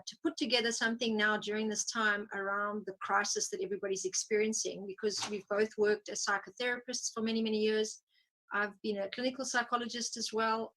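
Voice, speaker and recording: Thoughtful woman psychotherapist, measured. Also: medium pitch, fairly bright tone, low-quality recording. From South Africa. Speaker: psychotherapist guest. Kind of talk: interview conversation.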